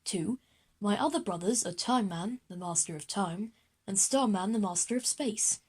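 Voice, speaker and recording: A woman playing a proud, formal grown man in a children's audio drama, speaking in a courteous, measured way. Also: mid-range voice, a little mellow, husky, lightly acted. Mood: proud, composed.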